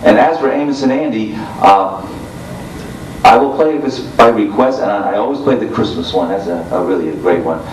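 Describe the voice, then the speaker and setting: A man who hosts radio, chatting casually on a panel in a halting, conversational way. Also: fairly low pitch, a crowd in the background. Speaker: radio host speaking on a panel. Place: convention room, informal video recording. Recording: echoey room, distant mic, faint noise.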